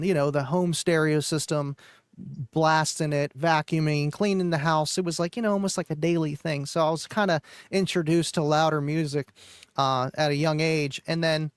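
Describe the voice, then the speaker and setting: Man, somewhat high voice, dry close sound, relaxed rambling live-stream chat, lots of fillers. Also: no room sound. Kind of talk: casual chat about car audio.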